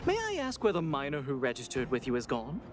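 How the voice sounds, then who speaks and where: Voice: medium pitch, huge pitch swings, fast talker. An actor playing an earnest, slightly flustered auction staff member in a video game, polite and defensive. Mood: earnest, businesslike. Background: music.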